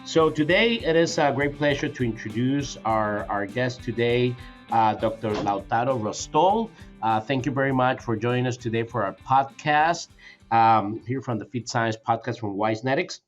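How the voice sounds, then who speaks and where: A man's voice: medium pitch, normal speed, soft background music. A man hosting a podcast interview, speaking hesitantly with many fillers and restarts. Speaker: podcast host.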